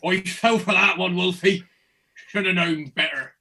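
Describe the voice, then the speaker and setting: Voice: medium pitch, steady tone, a little slow, pushed and bright. An actor playing a wry, joking village fishmonger in a comedy radio play, bantering with customers. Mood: chummy.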